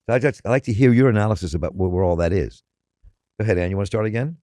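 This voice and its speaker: A man's voice: on the low side, racing, dark tone, no echo, studio quality. An older man hosting a radio interview, rambling through his questions with many restarts. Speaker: interview host.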